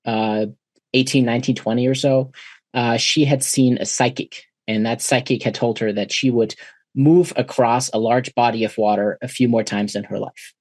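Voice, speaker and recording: A man's voice: medium pitch, steady tone, moderate pace, darkish tone, no room sound. Reflective German-born man in his forties. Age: 40s. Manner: thoughtful, many uh fillers and restarts. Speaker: podcast guest. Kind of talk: reflective interview conversation.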